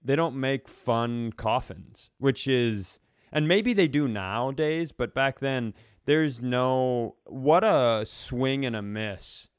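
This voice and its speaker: A man's voice: mid-range voice, slow, clear, resonant tone, no room sound, clean studio sound. A man chatting casually as a comedy podcast host, telling rambling, self-deprecating stories.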